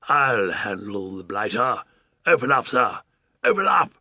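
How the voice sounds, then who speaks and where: An actor playing a pompous, blustering old duke who bellows his orders slowly and emphatically. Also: medium pitch, creaky, sharp tone, no room sound, studio quality, heavily acted.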